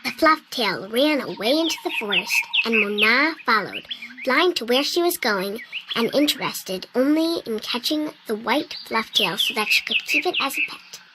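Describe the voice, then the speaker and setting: A woman's voice: fairly high pitch, lively intonation, moderate pace, lightly acted. A woman voicing a sweet little winged girl. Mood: storytelling. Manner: earnest, childlike storytelling. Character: small winged girl, sweet and eager storyteller. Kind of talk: video game dialogue. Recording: loud background noise.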